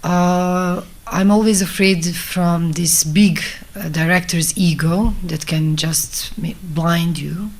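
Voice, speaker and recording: Low-voiced Bosnian woman, reflective. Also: steady tone. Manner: reflective, halting phrasing in non-native English. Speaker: film director giving a talk. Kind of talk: informal public talk, conversational.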